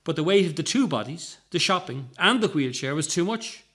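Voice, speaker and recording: A man's voice: on the high side, expressive, a little fast, a bit bright, husky. A man narrating a scripted short story as its reader.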